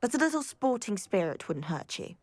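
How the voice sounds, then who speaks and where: A woman's voice: mid-range voice, very animated, fairly quick, darkish tone, dry recording. An actress playing a confident, competitive teenage witch schoolgirl in a video game, with a self-assured, teasing manner. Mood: sporting, wry. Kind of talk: video game cutscene dialogue. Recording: clear music behind the voice.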